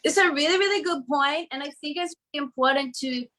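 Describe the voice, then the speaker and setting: An earnest middle-aged Australian woman talking through her ideas over a video call. Her voice sits a little high and runs in long, flowing sentences. She often drops in a casual "you know.". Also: fast, pushed and bright. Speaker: guest speaker. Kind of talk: reflective interview answers.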